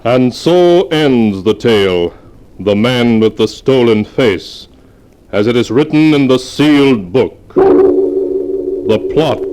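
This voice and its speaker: An actor playing an ominous, grandiose narrator of doomed tales in 1940s horror radio. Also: male voice, lowish voice. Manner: grandiose, portentous. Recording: very noisy old radio broadcast.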